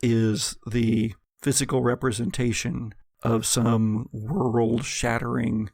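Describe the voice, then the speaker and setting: A man's voice: medium pitch, flat delivery, takes it slowly, gravelly, darkish tone, husky, no echo, clean studio sound. A man running a tabletop roleplaying game as game master, moving between dramatic scene narration and casual rulings.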